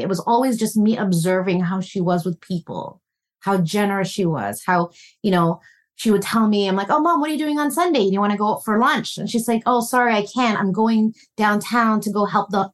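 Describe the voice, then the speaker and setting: A woman's voice: mid-range voice, fairly quick. A woman relationship coach being interviewed, talking in an earnest, reflective way and telling family stories. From Filipino family, raised in North America. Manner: earnest, reflective, storytelling with warm laughs. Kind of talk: podcast interview conversation.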